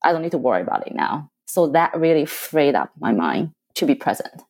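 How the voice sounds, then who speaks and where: Low-voiced woman, Chinese first language. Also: very animated, darkish tone. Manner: earnest, halting with restarts and repeated words. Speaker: coaching client. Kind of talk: reflective casual conversation.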